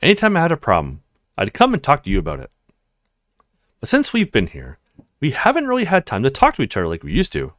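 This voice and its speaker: A man's voice: mid-range voice, huge pitch swings, fast talker, pauses often, fairly bright tone, no room sound, studio quality. A man voicing a petite young woman in a visual novel, earnest, insecure and blunt in her complaints. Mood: earnest, hesitant.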